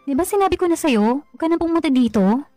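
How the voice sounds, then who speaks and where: A woman's voice: sits high, animated, quick pace, mellow. A woman playing a cold, exasperated young girlfriend. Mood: annoyed. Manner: curt, exasperated, dismissive. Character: irritated young girlfriend Yana, cold and dismissive. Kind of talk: Filipino radio drama acting. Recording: dry studio voice with music bed.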